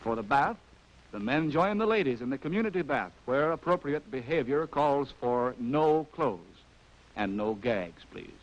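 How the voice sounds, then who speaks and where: A man's voice: medium pitch, lively intonation, normal speed, highs cut off. An American man narrating a scripted 1940s training film in a wry, arch, theatrical way, on a vintage film soundtrack.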